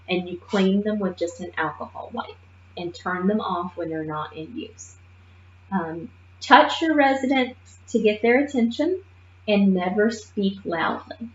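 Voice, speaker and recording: A woman's voice: mid-range voice, a little hiss or hum. Woman, nursing assistant instructor, emphatic classroom lecture, keeps checking in with "okay?"; muffled, echoey room sound. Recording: muffled roomy classroom recording.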